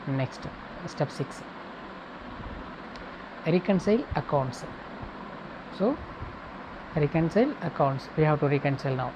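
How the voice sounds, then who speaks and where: A woman tutor explaining a procedure step by step, repeating phrases in short, halting segments. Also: low-pitched, lively intonation, noisy background. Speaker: accounting tutor.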